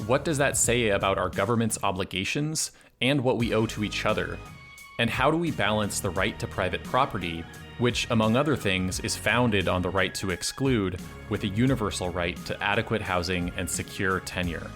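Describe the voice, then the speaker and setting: A man hosting a policy podcast, reading a scripted intro and then chatting with guests in a measured way. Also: fairly low pitch, fairly bright tone, soft background music. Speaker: podcast host.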